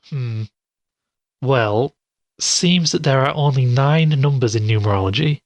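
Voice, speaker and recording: An actor playing a sceptical podcast investigator in an audio drama, thinking aloud hesitantly with dry doubt. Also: male voice, mid-range voice, dry recording, natural voice. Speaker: podcast host character.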